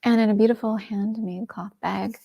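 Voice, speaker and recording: A middle-aged woman hosting a shopping livestream, chatting enthusiastically and dropping into soft asides. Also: medium pitch, steady tone, normal speed, gravelly, lo-fi sound. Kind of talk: casual product presentation.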